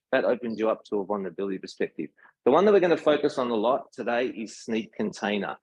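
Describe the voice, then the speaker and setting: A man's voice: medium pitch, darkish tone, slightly rough, no echo, poor audio. A man with an Australian accent giving an informal technical talk at a meetup, speaking conversationally and checking in with his listeners. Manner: conversational, addresses the audience with questions and tag "right?". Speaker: tech company presenter.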